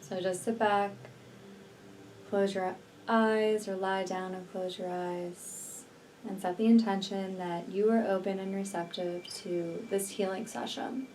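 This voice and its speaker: A woman leading a guided healing meditation in a slow, soothing, deliberate manner with long pauses between phrases. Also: mid-range voice, level tone, a little mellow. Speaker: energy healer and meditation guide. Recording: distant mic, faint background noise.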